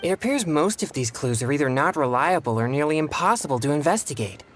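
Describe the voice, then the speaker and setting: An actor playing a polite, earnest young male exorcist in a video game, speaking in formal, courteous, measured phrases. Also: a little high, animated, fairly bright tone, soft background music. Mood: earnest, pondering. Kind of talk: scripted video game dialogue.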